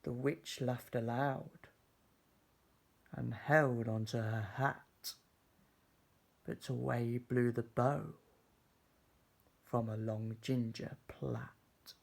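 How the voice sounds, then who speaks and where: Very slow, gravelly male storybook reader. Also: mid-range voice, fairly even intonation. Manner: measured, dramatic storytelling. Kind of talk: expressive children's story narration.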